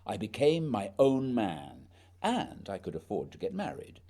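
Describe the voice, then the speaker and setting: Man acting a proud naval explorer, husky, lively rise and fall, earnest, determined, dramatic radio play delivery. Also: on the low side, a little slow, exaggerated voice. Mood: proud, recollecting.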